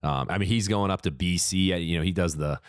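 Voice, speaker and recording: Deep-voiced laid-back podcast host. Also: male voice, fast, clear, resonant tone, no echo, studio quality. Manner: laid-back, heavy on fillers like um and you know. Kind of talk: casual podcast chat. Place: podcast studio.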